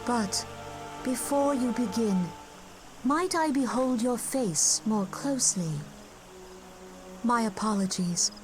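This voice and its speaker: Serene female seer, fantasy game, slow delivery, courtly formal phrasing, gracious, music behind. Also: on the high side, lively intonation. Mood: gently wistful. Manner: formal, measured, courtly archaic speech. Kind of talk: fantasy video game voice acting.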